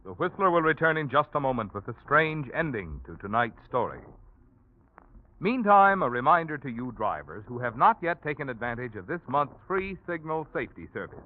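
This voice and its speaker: Lively American radio announcer man, phone-like sound. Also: medium pitch. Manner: polished, emphatic salesmanship. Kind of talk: 1940s radio sponsor announcement. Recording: phone-like old radio broadcast.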